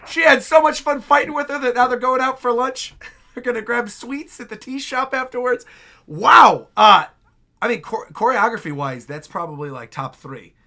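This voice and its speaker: Man, high animated voice, creaky edges, casual reaction host, exclamatory, effusive. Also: moderate pace. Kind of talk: excited reaction commentary, casual.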